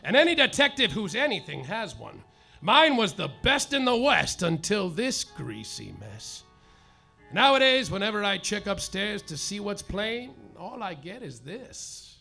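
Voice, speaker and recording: Guy doing a boozy noir gumshoe, high lively voice, gravelly and bright, talks slow. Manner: mock-noir deadpan, drawn-out punchlines. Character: boozy hard-boiled male private eye. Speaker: comedic detective narrator. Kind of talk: live stage parody of noir narration. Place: live theater stage. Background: live music and audience laughter.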